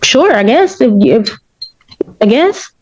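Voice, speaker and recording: A woman's voice: sits high, very animated, slow. A woman speaking as a defendant in court, asking questions in a persistent, colloquial way. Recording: dull, noisy courtroom microphone audio.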